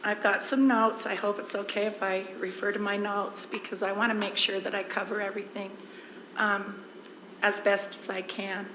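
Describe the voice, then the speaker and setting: A hoarse woman, a district administrator, giving a relaxed presentation at a school board meeting and explaining with everyday examples. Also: medium pitch, normal speed, reverberant, background noise.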